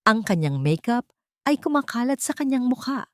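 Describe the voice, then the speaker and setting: A woman's voice: on the high side, very animated, normal speed, dry recording, studio quality. A woman reading a scripted love story as a dramatic narrator.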